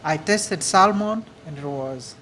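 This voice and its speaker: A man from Uganda with a Ugandan accent, answering audience questions in a halting, earnest way at a live speaking event. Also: a little high, animated, sharp tone, slight background noise. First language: a Ugandan language. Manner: earnest, halting, repeats words. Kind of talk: public speaking Q&A.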